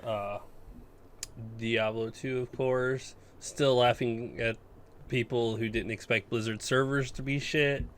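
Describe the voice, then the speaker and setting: A man's voice: medium pitch, steady tone, slow pace, slight background noise. A man chatting casually as a podcast co-host, blunt and given to mild swearing. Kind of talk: casual gaming chat.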